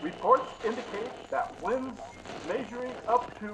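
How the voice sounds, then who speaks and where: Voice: medium pitch, measured pace, close to an everyday voice. An actor playing an urgent, formal 1950s radio news announcer, reading a bulletin emphatically over slight background noise.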